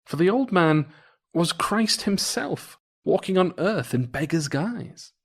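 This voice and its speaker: A man reading a folktale aloud as a storyteller, with expressive, varied intonation. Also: sits high, slow, vocal fry, breathy edge. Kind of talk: folktale narration, read aloud.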